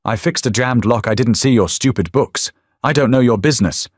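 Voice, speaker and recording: A man voicing a trapped young maid, frightened but defiant, her voice raspy from disuse. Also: mid-range voice, brisk, no echo, clean studio sound, voice pushed up, lightly acted. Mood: pleading.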